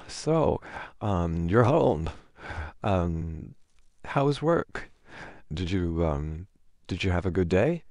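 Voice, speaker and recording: Flustered, affectionate boyfriend played by a man for ASMR audio roleplay: hesitant, creaky, close and dry. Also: deep voice, darkish tone, no room sound, full character voice. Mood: nervous, awkward. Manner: hesitant, halting, wordy.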